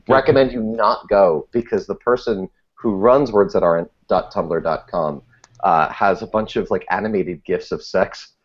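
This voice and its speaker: A man with a low voice speaks as a guest in a casual interview. He is wry and self-deprecating, rambling through false starts. The recording is somewhat dull and lo-fi. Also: normal speed. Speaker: podcast guest. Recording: dull, muffled lo-fi audio.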